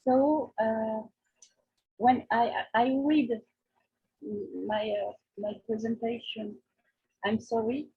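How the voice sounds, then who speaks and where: A native French-speaking woman with a Quebec French accent, giving a halting presentation over a video call. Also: a little high, fairly even intonation, breathy edge. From Quebec, Canada. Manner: halting, apologetic second-language delivery. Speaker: new member giving a presentation. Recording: muffled, thin video-call audio.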